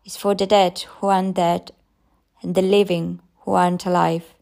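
A woman's voice: on the low side, level tone, moderate pace, gravelly, darkish tone. A woman voicing a crazed old woman in a derelict garden, who talks in eerie, rambling, broken phrases.

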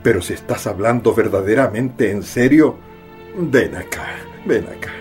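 A man playing a gentle, devout father in a radio drama, coaxing in manner, with a Chilean accent. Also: on the low side, animated, normal speed, soft background music, lightly acted. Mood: gentle urging.

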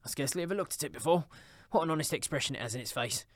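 A man's voice: a little high, rapid-fire, no echo, studio quality, voice pushed up, natural voice. A man voicing an overjoyed, reformed old miser in a storybook, exclamatory, gushing and courteous. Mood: gleeful, exuberant. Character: overjoyed reformed old miser, an elderly gentleman bubbling with goodwill. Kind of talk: dramatised storybook reading.

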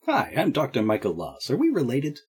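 A man's voice: mid-range voice, wildly swooping pitch, brisk, husky. A man playing a tabletop game in character, brash and jokey.

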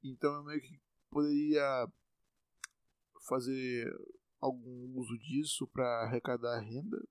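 A man's voice: medium pitch, clean tone, no room sound, rough recording. A young man in his twenties from Salvador, with a Bahian accent, rambling casually with lots of swearing. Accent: Bahian Brazilian Portuguese. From Salvador, Brazil. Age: 20s. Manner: rambling, profane, self-mocking. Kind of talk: casual monologue.

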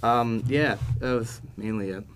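Casual, halting man with noise behind him. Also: mid-range voice. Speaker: interview guest, solo musician. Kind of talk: casual podcast interview chat.

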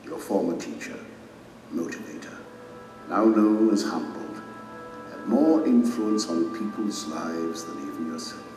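An actor playing a majestic, stern king who judges his subjects in a solemn, measured, authoritative way in an audio drama. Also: on the low side, a little mellow, close to an everyday voice. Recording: cavernous reverberant hall, distant, music underneath.

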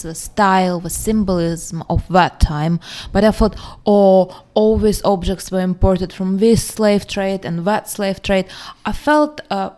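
A woman's voice: medium pitch, a little mellow. A woman co-hosting a casual discussion podcast, talking through her opinions fluently with frequent "uh" fillers. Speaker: podcast co-host.

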